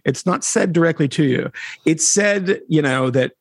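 A middle-aged man, a reporter guest on a podcast, talking in an animated way with wry asides and chuckles. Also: a little high, moderate pace, creaky, no echo. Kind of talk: conversational interview.

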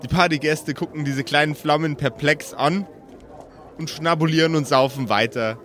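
A man narrating an improvised pen-and-paper story as game master, measured, with dramatic pauses. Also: a little high, fairly bright tone.

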